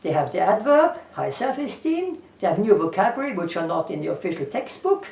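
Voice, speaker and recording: A man's voice: sits high, big pitch swings, fairly quick, gravelly, darkish tone. German-accented man, earnest and echoey. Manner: earnest, repeats himself, lists things, quotes scripture. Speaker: English teacher. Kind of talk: casual talk to camera. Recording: echoey room, far from the mic.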